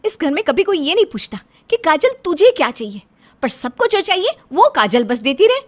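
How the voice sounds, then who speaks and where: A woman's voice: high-pitched, very animated, a little fast, pitched above the performer's own voice. A woman voicing a fed-up, demanding young housewife who whines and complains in a cartoon story. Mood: bitter, grumbling. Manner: whining, complaining. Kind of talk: Hindi cartoon story acting.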